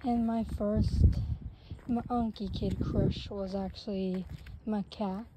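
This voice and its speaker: Young female vlogger, unhurried and halting, recorded on a phone outside with steady noise behind. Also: mid-range voice. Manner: hesitant, rambling, with many restarts. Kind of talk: casual walking vlog chat. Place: outdoors on a sunny paved path. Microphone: phone held while walking.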